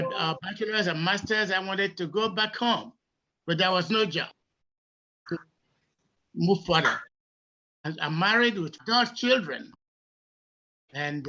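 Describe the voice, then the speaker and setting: A man's voice: high voice, pushed and bright. A man from Nigeria, in his sixties, introducing himself on a panel in a polite, formal way. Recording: dull lo-fi audio.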